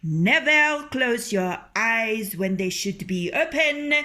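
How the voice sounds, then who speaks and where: A woman reading a children's story aloud as storyteller, in an expressive, unhurried way. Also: on the high side.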